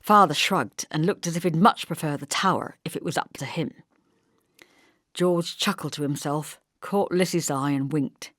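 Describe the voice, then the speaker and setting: Low-pitched woman reading an audiobook. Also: a bit bright, no room sound, studio quality. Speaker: audiobook narrator. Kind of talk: scripted literary narration.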